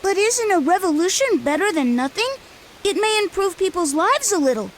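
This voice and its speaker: A woman's voice: extremely high pitch, big pitch swings, normal speed, slight background noise. A woman voicing an earnest, naive little boy in a video game, eager and questioning. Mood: earnest, hopeful.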